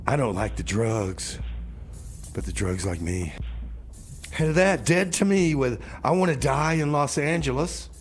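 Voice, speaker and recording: A man hosting a community radio show, chatting casually and haltingly in a rambling, informal way with comedic banter. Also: mid-range voice, expressive, a little hiss or hum. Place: radio studio.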